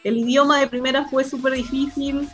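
A young woman with a Chilean accent, a filmmaker chatting casually as an interview guest, relaxed and laughing often. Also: sits high, brisk, soft background music. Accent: Chilean Spanish. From born in Hawaii, raised in Chile. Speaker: guest, filmmaker and film teacher. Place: remote interview from Hawaii.

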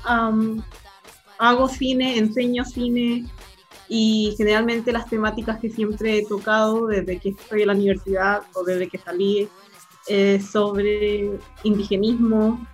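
A young woman with a Chilean accent, a filmmaker chatting casually as an interview guest, relaxed and laughing often. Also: medium pitch, takes it slowly, slightly rough, soft background music. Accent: Chilean Spanish. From born in Hawaii, raised in Chile. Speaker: guest, filmmaker and film teacher. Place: remote interview from Hawaii.

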